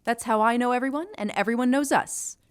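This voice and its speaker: A woman's voice: fairly high pitch, fairly quick, smooth, close to an everyday voice. A woman playing an eager, officious and self-conscious young witch with a chipper, theatrical manner in an audio drama.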